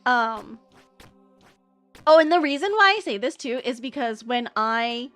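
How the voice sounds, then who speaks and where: A woman's voice: on the high side, lively intonation, measured pace, fairly bright tone, dry recording, faint music behind. A young American woman streamer telling a story in a casual, rambling way, with many fillers and restarts. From United States. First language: English.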